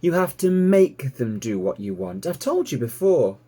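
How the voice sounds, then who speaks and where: A man voicing a motherly, ageing female sheepdog in a storybook, brisk, fond and matter-of-fact. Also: medium pitch, sing-song, warm tone, slightly rough. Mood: reassuring. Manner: reassuring, matter-of-fact, emphatic.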